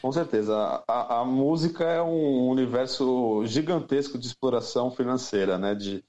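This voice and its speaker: A man from Brazil, a music teacher and producer, talking through his plans in a relaxed, thoughtful interview. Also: medium pitch, highs cut off. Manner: thoughtful, unhurried, many fillers. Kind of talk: casual interview talk.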